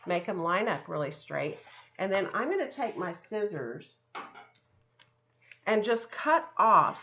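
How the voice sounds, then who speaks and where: Bright-voiced woman teaching children art. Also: medium pitch, lo-fi sound, a little hiss or hum. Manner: encouraging, simple instructions, thinking aloud. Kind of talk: step-by-step craft lesson for children.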